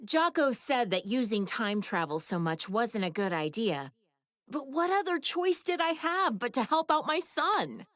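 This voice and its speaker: A woman's voice: on the high side, big pitch swings, unhurried, a bit bright, no room sound, clean studio sound. A woman playing a proud, doting, glamorous middle-aged mother in an anime game, breezy and opinionated. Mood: proud, teasing. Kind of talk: English anime game dub banter.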